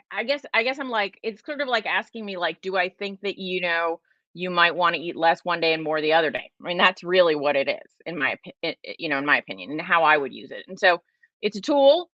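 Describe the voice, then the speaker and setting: A woman's voice: medium pitch, pushed and bright, no room sound, muffled recording. A woman in her forties hosting a casual livestream Q&A, chatty and emphatic, with lots of fillers and restarts. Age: 40s. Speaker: host.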